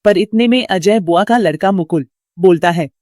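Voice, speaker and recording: A woman's voice: mid-range voice, moderate pace, a little mellow, no room sound. Female narrator for scripted storytelling; mid-range voice, recorded dry.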